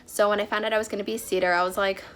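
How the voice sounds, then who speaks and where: A woman's voice: medium pitch, level tone, racing. A young woman vlogging casually, sounding a bit nasal from a cold, chatty with frequent fillers. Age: young adult. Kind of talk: casual vlog talk.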